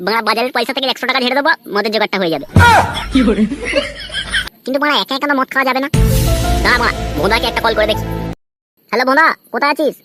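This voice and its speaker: A man voicing a lazy, scheming, hard-drinking cartoon son, cheeky and grumbling, in a pitched-up voice. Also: rapid-fire, pauses often. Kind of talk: crude comedy cartoon dialogue. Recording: loud music behind the voice.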